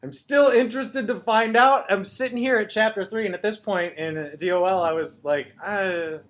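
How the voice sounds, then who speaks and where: A man co-hosting a podcast, chatting casually and jokily about a book in an excitable way. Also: high voice, moderate pace. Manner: excitable, jokey. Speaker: podcast co-host. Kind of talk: casual book-discussion chat.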